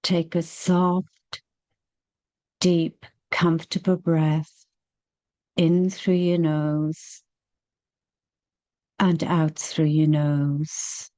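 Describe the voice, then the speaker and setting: A woman leading a guided meditation, speaking gently and soothingly with long, unhurried pauses. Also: sits low, level tone, no echo.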